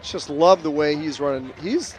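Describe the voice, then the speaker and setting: A man doing play-by-play on a high school football broadcast, mixing live calls with casual, jovial banter. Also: normal speed. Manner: jovial, jokey, excitable on big plays. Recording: clear outdoor stadium ambience noise. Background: outdoor game ambience.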